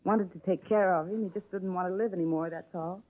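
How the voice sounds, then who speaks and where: A woman's voice: on the low side, fairly quick, lightly acted. An actress playing a middle-aged woman suspect who denies everything and then confesses to murder, in a 1950s radio drama. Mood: confessing. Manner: defensive, denying, then breaking into flat confession. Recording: narrow phone-like old radio transcription.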